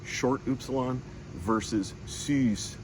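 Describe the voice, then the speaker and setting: A man teaching a lesson, patiently explaining sounds and drawing out each one slowly as he demonstrates it. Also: medium pitch, noisy background. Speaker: language teacher.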